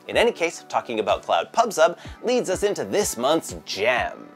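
A man in his thirties presenting scripted monthly tech news from a bright home office, with a lively, lightly joking delivery. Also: a little high, normal speed, soft background music.

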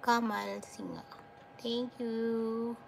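A Filipino woman in her thirties chatting slowly and softly with viewers during a phone live stream at night. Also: fairly high pitch, flat delivery, gravelly, a little hiss or hum. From Philippines. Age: 30s. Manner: slow, soft-spoken, thanks viewers often. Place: dim room at night, indoors. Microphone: phone held close.

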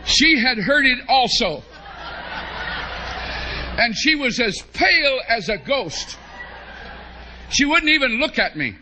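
A middle-aged American man preaching, telling a humorous story to a congregation in an animated way with drawn-out emphasis. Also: very high voice, noisy background. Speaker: preacher, bishop. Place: large church hall, at a pulpit before a congregation. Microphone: podium microphone on a stand.

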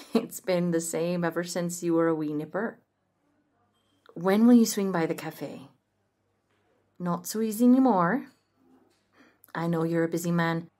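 A man's voice: high-pitched, darkish tone. A man voicing a kindly, shrewd older café owner with a British accent who calls everyone love and dear. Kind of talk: audio roleplay acting.

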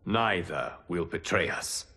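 Slow, solemn guardian god. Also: male voice, low-pitched, lively intonation, heavy background noise. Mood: firm counsel. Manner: grave, formal, authoritative. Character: solemn, wise male fire god, protector of realms. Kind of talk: measured dramatic game cutscene acting.

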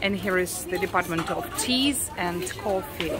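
A Russian woman with a Russian accent, talking casually as a vlogger while she walks through a grocery store, halting often with "uh" and restarts. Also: lowish voice. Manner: unhurried, halting, frequent uh and restarts. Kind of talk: casual shopping vlog walk-through. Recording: clear store background noise.

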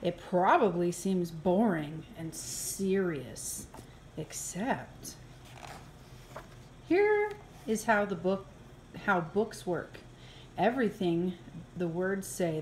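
A woman reading a children's picture book aloud in an expressive, playful way, with exaggerated reactions. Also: fairly low pitch, a little hiss or hum. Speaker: early childhood program coordinator reading aloud. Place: indoors, filmed over an open book.

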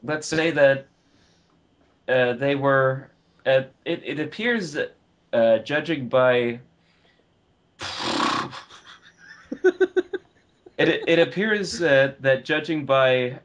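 A man speaks slowly as a co-host in a casual discussion. His mid-pitched delivery is halting, and he often pauses and repeats a phrase before carrying on. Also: fairly bright tone, lo-fi sound. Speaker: podcast co-host. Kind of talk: casual film and game discussion.

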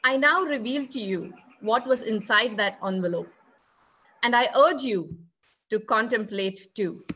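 A woman with a slightly high voice delivers a scripted presentation over a video call. Her phrasing is reflective and poetic, like a narrated artist's talk. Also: unhurried, bright, forceful tone, rough recording. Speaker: theatre artist presenting at a conference.

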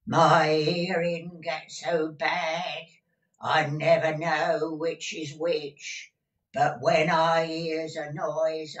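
A man voicing a deaf, blunt, cantankerous old housekeeper woman in a storybook reading, with drawn-out querulous rustic speech. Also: fairly high pitch, a bit bright, pitched above the performer's own voice. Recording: distant, echoey room recording.

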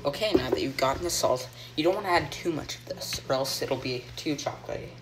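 A teenage boy hosting a joking homemade cooking show in a home kitchen, rambling deadpan through mock instructions with plenty of ums, filmed on a phone by a friend. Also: medium pitch, fast. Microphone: phone camera held by a friend. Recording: noisy home phone video.

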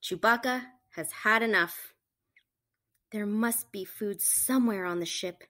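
A woman reading a picture book aloud to children, with animated, emphatic storytelling delivery. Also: mid-range voice, a little slow. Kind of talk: expressive read-aloud narration for children.

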